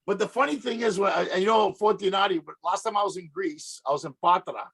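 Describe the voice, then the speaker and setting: A middle-aged man hosting a chat show, telling rambling, animated anecdotes with many restarts. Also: sits high, fast, vocal fry, slightly rough. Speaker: podcast host. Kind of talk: casual interview chat.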